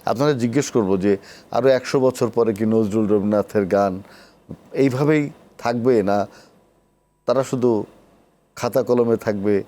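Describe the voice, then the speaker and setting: A man's voice: on the low side, moderate pace, pauses often, dark tone. A man with a Bangladeshi accent hosts a cultural talk show, speaking conversationally as he puts questions to his guest. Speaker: TV talk show host, poet. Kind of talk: conversational cultural interview hosting.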